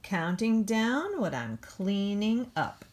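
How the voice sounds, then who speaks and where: A woman's voice: mid-range voice, big pitch swings, slow pace, a little mellow, a little hiss or hum. A middle-aged woman, a pre-kindergarten teacher, talking to young children and reading a picture book aloud. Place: indoor room at home.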